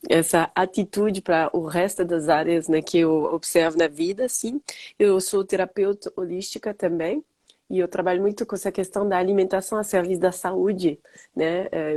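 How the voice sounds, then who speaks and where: A woman's voice: on the low side, dark tone. A French woman speaking Portuguese as a guest biologist, stuffy-nosed from a cold, talking in a reflective way with hesitations. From France. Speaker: guest biologist and holistic therapist. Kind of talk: casual interview talk. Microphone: video call mic.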